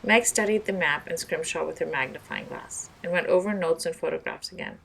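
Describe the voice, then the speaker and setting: Female storyteller for children's read-aloud narration; lower pitch, noticeable vocal fry, slight background noise. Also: fairly quick, fairly bright tone, low-quality recording.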